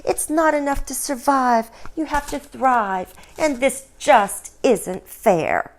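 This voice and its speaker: A woman's voice: high-pitched, takes it slowly, voice pushed up. A woman voicing a sly, gossipy ghost princess in a satirical storybook tale, speaking in an arch and knowing way. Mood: arch mockery. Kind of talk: storybook dialogue reading.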